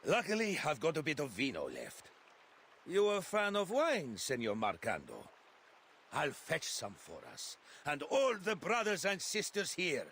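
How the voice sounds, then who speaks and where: An actor playing a wily, charming older schemer in a video game, with a folksy, theatrical manner. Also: male voice, fairly high pitch, huge pitch swings, unhurried, a bit bright, slight background noise, heavily acted. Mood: hearty, convivial. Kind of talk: video game character acting.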